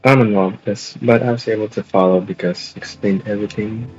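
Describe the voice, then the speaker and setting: A man's voice: on the low side, steady tone, fairly quick, darkish tone, breathy edge, muffled recording, faint music behind. A man casually reading video game text aloud as the player.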